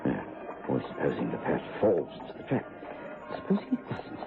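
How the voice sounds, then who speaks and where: A man with an English accent playing a fussy, impatient, loyal gentleman companion in a vintage radio play. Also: a bass voice, wildly swooping pitch, fairly quick, vocal fry, music in the background, heavily acted. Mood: grumbling. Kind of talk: 1950s-60s radio drama acting. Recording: tinny old radio recording.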